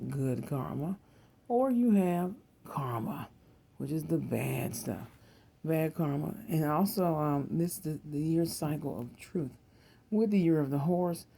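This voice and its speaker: An American speaker in their thirties with a very dark, mellow tone and plenty of gravelly fry. The delivery is a casual, wandering monologue to viewers, full of repeated phrases and side trips. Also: medium pitch. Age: 30s. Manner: repeats words and phrases, digressive. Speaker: tarot and psychic reading video host.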